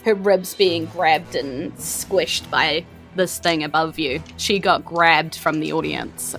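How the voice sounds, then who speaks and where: Bright-voiced New Zealand woman running a game. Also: sits low, steady tone, slow, faint music behind, poor audio. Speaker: game master. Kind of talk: narrating a tabletop game in casual chat.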